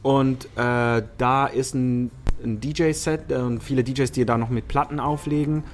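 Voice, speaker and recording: A man from Switzerland, a musician chatting as a podcast guest, lively and talkative with many fillers. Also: mid-range voice, a little slow, faint music behind. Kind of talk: casual chat.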